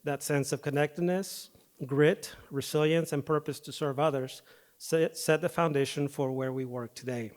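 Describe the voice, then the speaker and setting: A man, a firefighter paramedic, giving a prepared speech at an awards ceremony podium, his voice a little off from recent dental work, starting with fillers and then settling into steady reading. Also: on the high side, moderate pace. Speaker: firefighter paramedic and foundation president. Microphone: podium microphone.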